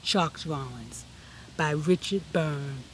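A woman reading a children's picture book aloud, expressively and with deliberate emphasis. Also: low voice, noisy background. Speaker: storybook reader. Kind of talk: children's read-aloud narration.

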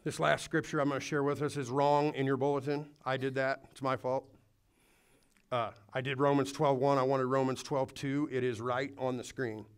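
A man preaching in a church, his voice sitting in an ordinary middle pitch. He speaks earnestly to the congregation, urging them on and now and then restarting a phrase. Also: flat delivery, moderate pace, smooth. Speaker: pastor. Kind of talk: sermon. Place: church hall before a congregation.

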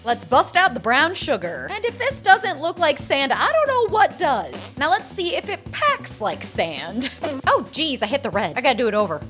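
A woman's voice: sits high, very animated, moderate pace, over music. A woman in her thirties narrating a baking video in a chatty, self-deprecating way, laughing at herself now and then, recorded on a studio microphone. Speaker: cake artist host. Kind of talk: casual voice-over tutorial narration. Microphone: studio microphone with headphones.

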